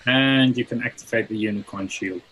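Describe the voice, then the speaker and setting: A man giving a deadpan, casual screen-share demonstration over a video call. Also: mid-range voice, normal speed, a little hiss or hum, poor audio. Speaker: guest plugin developer demonstrating.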